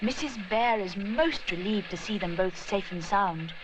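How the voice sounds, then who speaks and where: A woman reading a children's story with expressive, dramatic narration. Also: mid-range voice, unhurried. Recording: noisy recording.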